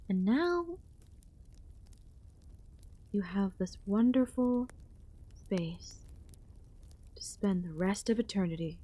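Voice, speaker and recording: A woman playing an ancient, possessive, lonely goddess queen in an ASMR audio roleplay, speaking in a hushed, intimate way with pauses. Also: mid-range voice, husky, no echo, full character voice. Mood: possessive, smug.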